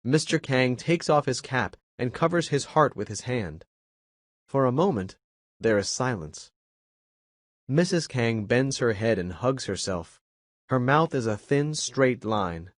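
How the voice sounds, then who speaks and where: A man reading a children's story aloud as an English teacher, calm and measured. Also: medium pitch, no room sound, studio quality.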